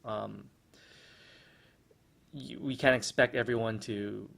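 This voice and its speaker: A man's voice: fairly low pitch, steady tone, slow, creaky, a bit bright. A man hosting a solo podcast, talking through his thoughts with lots of fillers and restarts. His first language is American English. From Philippines. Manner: hesitant, many restarts and fillers, reflective. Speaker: podcast host. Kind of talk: casual solo podcast commentary.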